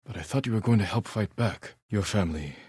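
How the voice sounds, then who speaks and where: An actor playing a stoic, honorable samurai warrior in a video game, weary and grave, speaking in a measured, restrained and protective manner. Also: male voice, lowish voice, a little mellow, slightly rough, no room sound, studio quality. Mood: earnest, reflective. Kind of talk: dramatic video game voice acting.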